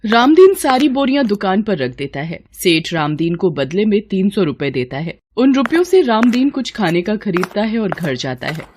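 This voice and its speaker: A woman's voice: mid-range voice, big pitch swings, moderate pace, few pauses, darkish tone, slight background noise. A woman narrating a scripted moral story as a storyteller.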